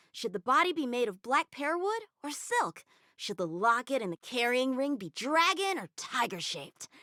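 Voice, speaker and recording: A woman's voice: on the high side, fairly bright tone, dry recording, studio quality. A woman voicing an eager, earnest teenage boy swordsman in a game, talking in a boyish, cheerful way. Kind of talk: game character voice lines.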